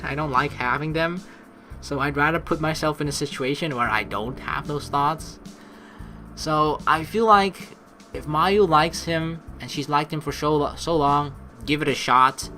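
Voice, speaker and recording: A man's voice: on the high side, measured pace, sharp tone. A man doing casual let's play commentary, thinking aloud in a rambling, informal way with some swearing. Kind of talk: casual game commentary with reading of on-screen text. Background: game music.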